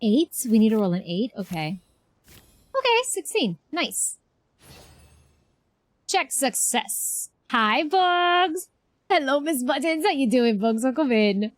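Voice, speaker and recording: Female game streamer: higher register, informal, slangy live reactions, very dry close-mic recording. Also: sing-song, slow, pauses often, dry recording. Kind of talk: casual livestream reaction chat.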